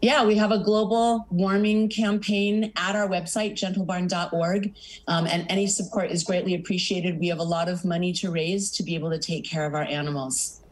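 A middle-aged woman speaks over a video call from a home office, her voice sitting on the low side. She is earnest and steady, often reeling off long lists as she answers a news anchor's questions. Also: normal speed. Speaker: animal sanctuary founder. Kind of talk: remote news interview. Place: home office with pictures on the wall. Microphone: video call webcam.

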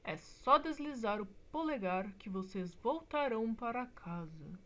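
Woman playing a slow-talking, wise inventor mentor. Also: on the high side, expressive, a bit bright. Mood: warmly encouraging. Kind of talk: animated children's cartoon voice.

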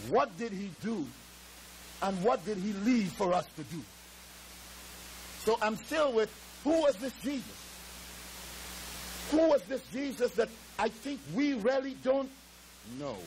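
A high-pitched older man in his seventies, from Trinidad, delivering a sermon through a headset mic on a church stage. He draws out key words slowly and swings into shouted praise, moving about the pulpit as he preaches. Faint hiss sits under the recording. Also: expressive, poor audio. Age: 70s. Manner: emphatic, drawn-out preaching with shouted exclamations; moves and gestures around the pulpit. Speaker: pastor. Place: church stage with drum kit.

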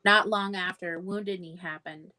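A woman's voice: on the low side, fairly even intonation, measured pace, sharp tone. A woman in her thirties co-hosting a casual book livestream, talking in a laid-back, blunt way. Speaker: book discussion co-host. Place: room at home, video call. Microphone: video call.

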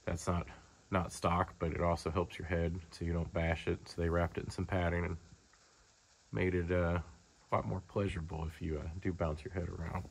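A man's voice: low voice, level tone, normal speed, creaky, breathy edge. A man presenting a vehicle as a car dealer, in a casual, off-the-cuff, matter-of-fact sales walkaround that rambles along informally. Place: large indoor car showroom. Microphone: handheld camera, speaker behind it.

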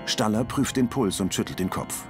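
Casting: male audio-description narrator, lowish voice, terse scripted delivery, music underneath. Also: moderate pace.

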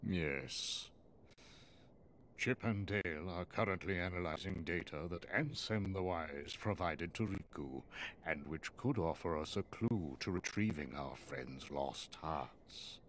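Very deep, stern old wizard. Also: male voice, a bit bright, slight background noise. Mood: stern, grave. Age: older. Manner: formal, measured, grave. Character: stern, wise old wizard master in robes. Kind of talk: video game cutscene acting.

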